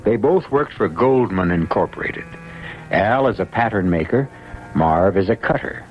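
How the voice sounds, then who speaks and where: A man's voice: on the low side, lively intonation, normal speed. A man narrating a 1970s radio drama as its host, telling the story. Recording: dull vintage radio recording. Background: music.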